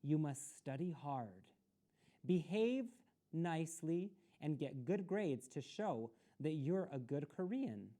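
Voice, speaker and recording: Woman reading as firm, caring mother, mellow voice. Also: low-pitched, animated, takes it slowly, heavily acted.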